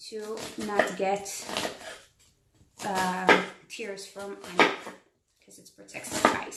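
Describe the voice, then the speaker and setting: Slow-talking Israeli woman cooking live. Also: mid-range voice, roomy sound, heavy background noise. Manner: chatty, reads and answers viewer comments, frequent ums. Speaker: tour educator hosting a live cooking stream. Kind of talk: casual live cooking demo. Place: home kitchen.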